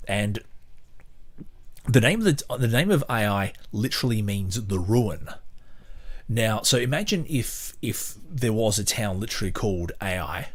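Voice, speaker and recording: A man with a fairly low voice hosts a podcast, commenting casually on a book he reads from. He rambles and halts as he thinks aloud, with a sardonic edge to his delivery. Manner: halting, sardonic, mock-surprised at times. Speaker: podcast host.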